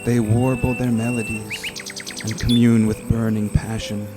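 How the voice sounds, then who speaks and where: A man playing a revered, gentle, impassioned holy man in a dramatic reading, with a measured, exalted delivery. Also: on the low side, flat delivery, lightly acted. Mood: reverent, devout. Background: loud music, birdsong and insects.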